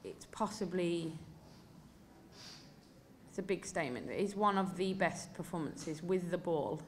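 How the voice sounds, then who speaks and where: English female coach in her 30s, low-pitched, candid and emphatic at a press desk mic, faint background hiss. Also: measured pace. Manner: candid, emphatic, many fillers. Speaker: football coach. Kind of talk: post-match press conference answers. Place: indoor press room before a sponsor backdrop.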